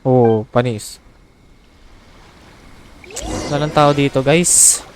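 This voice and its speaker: A man's voice: medium pitch, moderate pace, noisy background. A man, a native Filipino speaker, giving casual gameplay commentary as a streamer. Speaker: game streamer. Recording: game music behind the voice.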